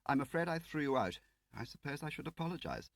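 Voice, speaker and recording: Man putting on a high-pitched pompous official. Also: big pitch swings, fast, no room sound. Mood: stiffly apologetic. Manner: pompous, drawling emphasis. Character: haughty, fussy senior government official, upper-class Englishman.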